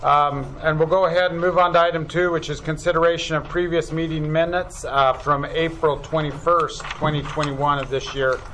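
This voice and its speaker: A man chairing a public meeting, running through agenda items in a relaxed way with many fillers. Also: on the high side, moderate pace, hardly any pauses, slight background noise, low-quality recording. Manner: relaxed, filler-heavy, loosely worded. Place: meeting room.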